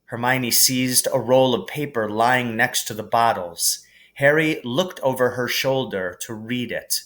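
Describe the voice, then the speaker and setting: A man's voice: mid-range voice, unhurried, a bit bright. A man reading a children's novel aloud as a storybook narrator.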